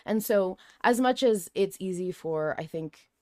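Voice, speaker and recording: A woman hosting a podcast, talking casually in an expressive, reflective way. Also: medium pitch, unhurried, dry recording, studio quality. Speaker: podcast host.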